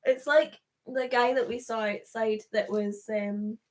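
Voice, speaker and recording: A woman's voice: a little high, steady tone, unhurried. A young woman with a Glaswegian Scottish accent, co-hosting a livestream in casual chat and laughing often. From Scotland. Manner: chatty, laughs often, breaks into acted-out quotes. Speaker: livestream co-host.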